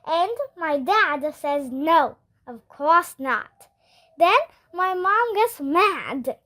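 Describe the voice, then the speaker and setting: A storybook reader giving an expressive first-person read-aloud of a children's chapter book. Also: high-pitched, very slow.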